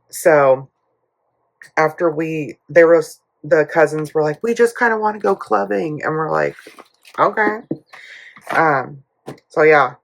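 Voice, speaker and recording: A hoarse woman telling a rambling family story in a casual budgeting vlog, full of fillers and asides. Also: deep voice, slight background noise. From United States. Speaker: budgeting YouTuber. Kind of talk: casual chatty vlog while cash stuffing.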